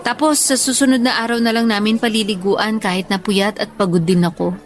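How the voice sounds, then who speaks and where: A woman playing a tired, affectionate young schoolteacher in a Filipino radio love drama, speaking softly and earnestly. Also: mid-range voice, steady tone, brisk, lightly acted. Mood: weary, confiding.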